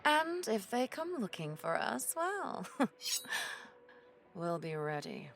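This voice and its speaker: A woman's voice: mid-range voice, sing-song, slow, creaky, slight background noise, heavily acted. A woman playing a stern, sardonic female pirate captain in an audio drama, commanding and dryly sarcastic. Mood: firm, weary.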